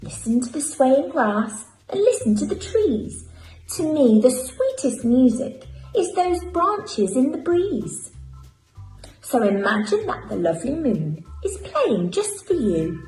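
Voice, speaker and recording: A woman voicing a gentle, wise male cricket in a hushed, kindly way for a storybook. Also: high voice, sing-song, takes it slowly, echoey room, soft background music, pitched above the performer's own voice, full character voice, rough recording.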